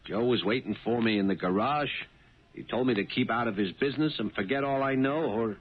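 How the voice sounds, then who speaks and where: A man's voice: mid-range voice, brisk, slightly rough, lightly acted. An actor playing an honest, anxious male cab driver in a radio drama, earnest and prone to flustered stammering. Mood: exasperated. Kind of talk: 1970s radio drama acting. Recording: tinny narrow-band old radio, faint hiss.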